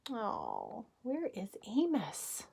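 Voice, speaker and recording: A woman teacher reading a picture book aloud to young children, expressive, with casual asides and questions to the listeners. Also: on the high side, drawn-out words, gravelly, husky. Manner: expressive, addresses children with questions and simple explanations.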